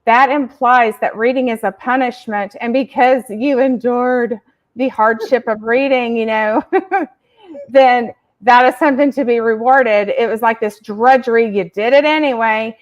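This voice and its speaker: A woman's voice: a little high, a little slow, muffled recording. A woman therapist explaining tips in a casual video chat, earnest and explanatory, recorded over a webcam. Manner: earnest, explanatory, laughs easily. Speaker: therapist guest and regular. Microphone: video call webcam.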